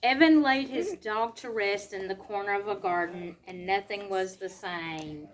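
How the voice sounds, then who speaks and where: A woman reads a storybook aloud to children, pausing to explain simply and ask them questions. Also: mid-range voice. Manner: simple explanatory asides and questions to young listeners.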